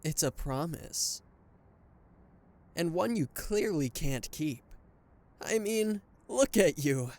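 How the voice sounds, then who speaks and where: A man's voice: on the high side, lively intonation, takes it slowly, long pauses, darkish tone. A man voicing a smug male anti-hero villain who gloats and teases a captured hero in an ASMR roleplay, with a mocking, flirtatious drawl. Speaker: voice actor.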